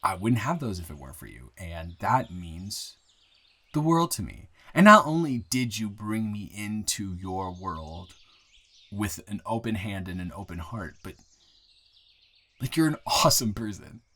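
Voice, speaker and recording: A young man acting an earnest, smitten guy new in town in an improvised audio roleplay, speaking intimately and haltingly with repeated words. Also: fairly low pitch, sing-song, pushed and bright, slightly rough, exaggerated voice. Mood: earnest, tender. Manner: halting, rambling, with repeated words and nervous chuckles.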